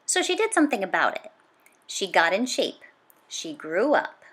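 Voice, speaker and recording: A woman reading a children's nonfiction book aloud in a dramatic, emphatic storytelling manner, with punchy exclamations and drawn-out key words. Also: medium pitch, big pitch swings, fairly bright tone, slightly rough. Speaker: storybook read-aloud reader.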